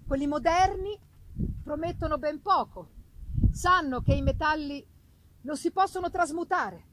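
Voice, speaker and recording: A woman's voice: sits high, noisy background. A woman voicing a benevolent male professor in his fifties, lecturing with grand, impassioned declamation in a dramatic radio reading of a novel.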